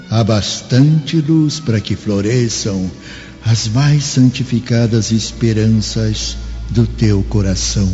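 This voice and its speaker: A man plays the gentle spirit of an old apostle in a radio play. He speaks very slowly and solemnly, consoling in a mellow voice wrapped in long reverb. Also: medium pitch, close to an everyday voice. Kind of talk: radio drama acting. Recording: dull, long reverb, music behind.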